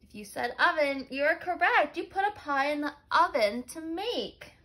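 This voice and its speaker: Animated, high-voiced woman reading to children. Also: a little slow. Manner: simple phrasing, asks listeners questions and confirms answers. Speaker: life skills teacher. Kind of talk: children's story read-aloud.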